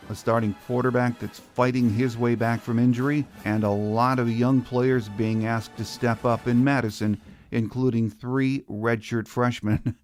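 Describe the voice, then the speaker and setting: A man's voice: medium pitch, a little mellow. An American man hosting a college football podcast, reading scripted commentary. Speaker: podcast host.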